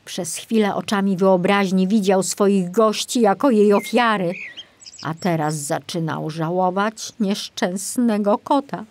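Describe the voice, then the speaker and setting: A woman reading a children's audiobook as narrator, in an expressive storytelling style. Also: medium pitch, measured pace, a little hiss or hum.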